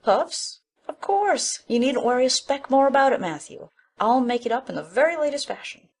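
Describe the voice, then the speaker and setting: A woman's voice: high voice, expressive, moderate pace. A woman playing an opinionated, bossy middle-aged village busybody in an audiobook drama, chatty and self-assured. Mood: brisk, obliging.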